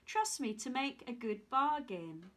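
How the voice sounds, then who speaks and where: A woman's voice: a little high, animated, measured pace, a bit bright. A woman voicing a cheerful, cocky country boy in a storybook reading. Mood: cheerful, confident.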